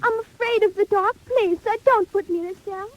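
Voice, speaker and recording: Small starving boy, piping high, timid, polite, pleading, dull vintage record. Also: moderate pace. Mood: frightened pleading. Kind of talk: 1940s audio drama acting.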